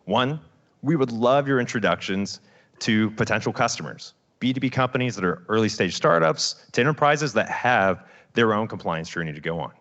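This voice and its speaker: A man co-founder delivering a confident, salesy startup pitch on stage. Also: expressive, normal speed, a bit bright, lo-fi sound. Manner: confident, salesy, building to asks.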